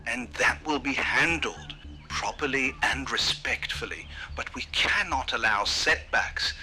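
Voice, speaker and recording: An actor playing a grandiose, optimistic park founder on a radio call in a horror drama, oratorical and soothingly persuasive. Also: male voice, mid-range voice, unhurried, sharp tone. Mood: persuasive, insistent. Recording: noisy radio transmission.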